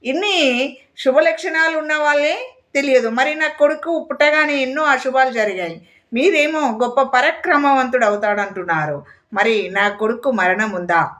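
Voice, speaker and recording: Woman voicing an anguished, pleading royal mother, high-pitched. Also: normal speed, a bit bright. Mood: anxious, questioning. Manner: pleading, lamenting questions.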